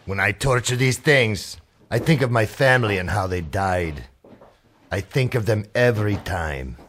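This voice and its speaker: An actor playing a sardonic, menacing henchman in an old-style radio drama, mocking and deliberate. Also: fairly low pitch, animated, fairly bright tone, a little hiss or hum. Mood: cold, grave. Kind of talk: 1940s radio drama acting.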